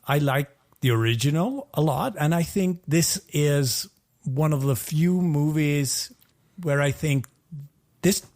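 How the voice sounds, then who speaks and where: A man's voice: fairly high pitch, darkish tone. A man co-hosting a podcast in casual chat, with an easygoing, somewhat hesitant way of talking. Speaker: podcast co-host.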